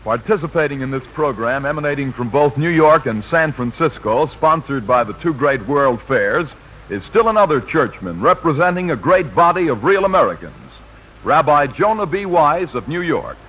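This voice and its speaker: A man's voice: fairly high pitch, lively intonation, moderate pace. A man announcing on 1940s radio in a formal, declamatory manner. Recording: muffled, noisy 1940s radio recording.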